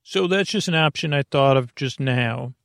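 Creaky, meandering male bedtime podcast host. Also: mid-range voice, fairly bright tone, no echo, studio quality. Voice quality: creaky, gravelly. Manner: halting, meandering, self-interrupting, talks to himself. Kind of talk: rambling, whimsical bedtime monologue.

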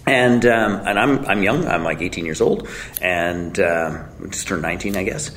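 A man's voice: deep voice, moderate pace, fairly bright tone, a little hiss or hum. A man in his forties, a guest telling his story in a casual, blunt way, swearing freely and joking at his own expense. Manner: blunt, swearing, self-mocking, chuckling.

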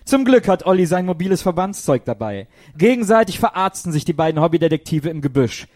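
A man's voice: fairly high pitch, sing-song, a little fast, a little mellow. A man narrating a comic radio play in a scripted storytelling style.

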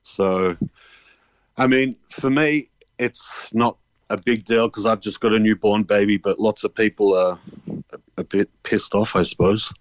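A man with an Australian accent speaks in a deep voice with very little room sound. He answers interview questions casually and hesitantly, in a matter-of-fact, fed-up way. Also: fairly even intonation, measured pace, dry recording, dull audio. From born in Ireland, grew up in Australia. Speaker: phone-in guest.

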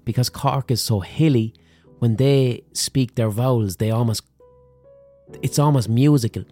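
A man's voice: mid-range voice, measured pace. Middle-aged Limerick man, comic podcast host, swears freely, slips into put-on accents, faint music behind. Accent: Limerick, Irish. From Ireland. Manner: sweary, animated storytelling with mock accents. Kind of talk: comic monologue.

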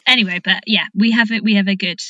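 Bright-voiced woman hosting a casual chat. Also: mid-range voice, steady tone, fast, dry recording, lo-fi sound. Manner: supportive, informal, thinks aloud. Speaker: podcast host. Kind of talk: casual conversational interview.

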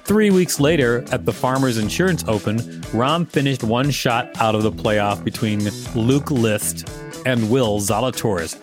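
A man's voice: mid-range voice, a little slow, music in the background. A man co-hosting a show, reading an article aloud in a narrator's style and breaking off for playful, mock-serious banter.

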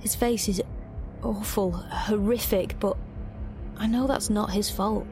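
A woman's voice: medium pitch, steady tone, slow pace. A woman playing a reflective, wry young heroine, narrating her own story intimately in an audio drama. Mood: tense, wondering. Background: music.

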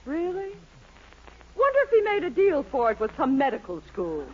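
An actress playing an excitable, bossy middle-aged housewife in a brash, theatrical 1940s radio sitcom style. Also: female voice, high voice, moderate pace. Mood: dry, curious. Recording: muffled, noisy old radio recording.

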